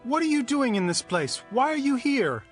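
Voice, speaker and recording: Casting: urgent biblical warrior, extremely high-pitched, insistent, declamatory, audio drama over music. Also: male voice, big pitch swings, moderate pace. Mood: demanding. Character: suspicious, urgent ancient warrior. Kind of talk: dramatized audio Bible acting.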